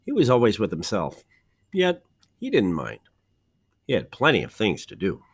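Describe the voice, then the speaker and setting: Man reading a storybook with very lively intonation. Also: on the low side, moderate pace, gravelly, breathy edge. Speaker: teacher reading a storybook aloud. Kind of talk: expressive children's storybook narration.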